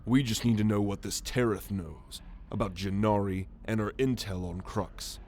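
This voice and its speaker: Man acting as alien warrior fugitive, lowish pitch, haughty, sardonic, measured, ASMR roleplay, background ambient noise. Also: fairly even intonation, darkish tone, lightly acted. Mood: pragmatic, brusque. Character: proud, gruff alien warrior, a renegade military fugitive. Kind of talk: ASMR roleplay monologue to the listener.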